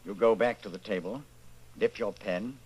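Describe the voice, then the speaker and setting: A man playing a grave, measured narrator in an old-time radio style drama, telling the story in the second person. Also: fairly low pitch, darkish tone, a little hiss or hum, close to an everyday voice, rough recording. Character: grave narrator addressing the hero as you.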